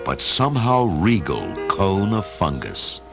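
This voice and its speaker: Low, animated male story narrator. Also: slow, creaky, over music. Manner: expressive storytelling. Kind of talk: dramatic scripted story narration.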